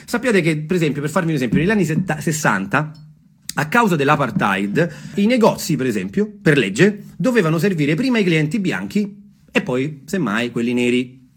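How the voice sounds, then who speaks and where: A man's voice: fairly high pitch, very animated, normal speed, slightly rough. A man telling a story theatrically and off the cuff, emphatic, repeating phrases and putting questions to his listeners. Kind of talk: theatrical spoken storytelling, semi-improvised.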